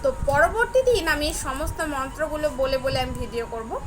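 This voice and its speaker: A woman's voice: high-pitched, moderate pace. A young woman speaks earnestly to viewers in a personal devotional talk, repeating phrases for emphasis. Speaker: devotional vlogger. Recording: clearly noisy, ambient background sound.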